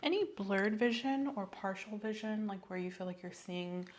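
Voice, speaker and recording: A soft-spoken woman playing a doctor in an ASMR medical roleplay, asking methodical questions in a reassuring, apologetic way. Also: medium pitch, moderate pace. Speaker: ASMR host playing a doctor giving an eye exam.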